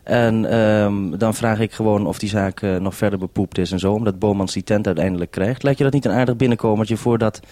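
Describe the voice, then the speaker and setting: This is a man with a fairly low, mellow voice and a dry sound. He speaks as a radio reporter in informal working talk, conversational and somewhat hesitant in his phrasing. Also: steady tone, fairly quick, hardly any pauses, dry recording. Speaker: radio reporter and programme maker.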